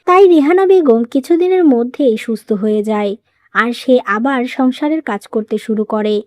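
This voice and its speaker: A woman's voice: a little high, normal speed, a little mellow, no room sound. A woman narrating a scripted moral story as its storyteller.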